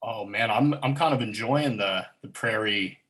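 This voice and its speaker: Easygoing low-voiced male podcast host. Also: moderate pace, a bit bright. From Corner Brook, Newfoundland. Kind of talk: casual podcast chat.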